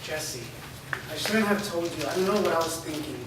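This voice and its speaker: A man's voice: a little high, moderate pace, lightly acted. A man reads a casual, reassuring young man in a mid-range voice. He is picked up from far off in a very echoey room, and hiss runs under the recording. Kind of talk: staged play reading.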